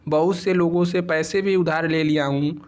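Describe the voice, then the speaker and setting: A man's voice: a little high, fairly even intonation, moderate pace, a little mellow, lightly acted. A man voicing a trusting, hard-pressed young husband in a cartoon moral story, speaking earnestly and pleadingly.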